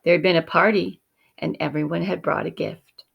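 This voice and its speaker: A woman teacher narrating a children's picture book expressively, measured and dramatic, often dropping to hushed tones. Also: lowish voice, gravelly, slightly rough, muffled recording.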